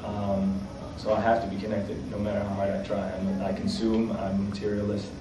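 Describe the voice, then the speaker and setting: Halting man in an echoey room. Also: fairly low pitch, monotone. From United States. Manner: halting, repeats himself, thoughtful. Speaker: interviewee. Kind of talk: casual interview testimony. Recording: echoey, distant mic, faint noise.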